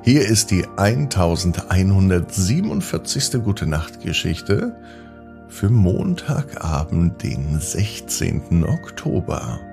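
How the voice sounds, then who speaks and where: Male storyteller, slow and deliberate, gravelly creak, gentle children's bedtime narration, drawn-out emphasis. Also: low-pitched. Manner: gentle, drawn-out emphasis, addressing young listeners. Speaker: children's podcast host and storyteller.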